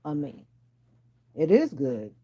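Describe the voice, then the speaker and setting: A sleepy middle-aged woman, raspy from a sinus infection, chatting casually with viewers on a phone livestream from home and teasing them by name. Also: sits low, very animated, slow pace, dark tone, poor audio. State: sleepy and exhausted. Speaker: livestream host. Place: at home indoors.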